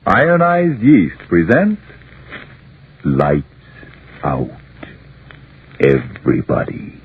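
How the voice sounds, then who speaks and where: A man with an extremely deep voice intones an old radio horror opening, ominous and drawn out. The sound is thin and phone-like, drenched in echo. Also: wildly swooping pitch, very slow. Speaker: horror show announcer. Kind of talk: 1940s radio horror show intro. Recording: muffled old radio, heavy echo, music.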